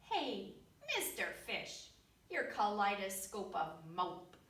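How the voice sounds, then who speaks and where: A woman's voice: medium pitch, very animated, slow, a bit bright. A woman voicing a squirmy, cheeky female squid, sing-song and cajoling. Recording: echoey room, distant mic.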